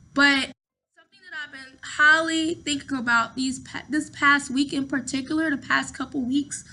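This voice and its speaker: A woman's voice: high voice, sharp tone. A woman in her thirties talking casually to camera at home, chatty and rambling, often repeating her words. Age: 30s. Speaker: vlogger.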